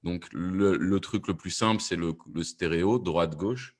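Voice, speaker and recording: Man, low voice, panel discussion, explanatory, hesitant rephrasing, podcast producer. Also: moderate pace.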